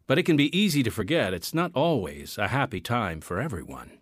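A man's voice: mid-range voice, very animated, brisk, no room sound, clean studio sound. A man narrating a scripted radio drama as its announcer, solemn and theatrical, stretching out key phrases.

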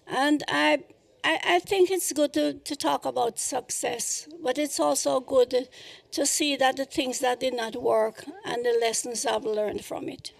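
A woman's voice: high-pitched, a little slow, creaky. An older woman speaking reflectively as an interview guest.

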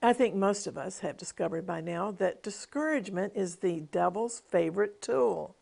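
A woman's voice: medium pitch, normal speed, gravelly, darkish tone. A middle-aged woman television minister giving a devotional teaching with a personal testimony, in an earnest, emphatic preaching cadence.

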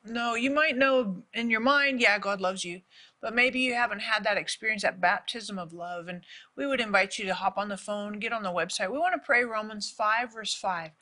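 A woman's voice: medium pitch, moderate pace, sharp tone. A woman co-hosting a faith talk show, upbeat as she welcomes the guest and invites viewers to call in.

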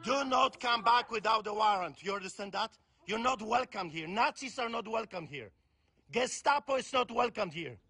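A pastor from Poland shouting furious, defiant commands in a confrontation clip. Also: male voice, sits high, breathy edge, no echo.

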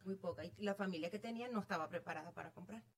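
A woman's voice: lowish voice, racing, husky. A middle-aged woman with a Venezuelan accent, telling her story casually as an interview guest. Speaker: interview guest, real estate agent.

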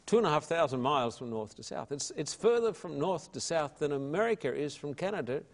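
A man's voice: a little high, animated, fairly quick, long reverb tail. An older man with an Australian accent, an evangelist telling his story in an interview with an emphatic, preacherly and folksy manner. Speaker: evangelist guest.

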